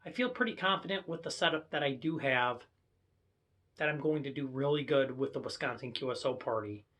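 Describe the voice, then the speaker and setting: A man's voice: mid-range voice, brisk, a bit bright. A man with an American accent casually presenting a hobby vlog, walking viewers through equipment. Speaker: amateur radio hobbyist presenter.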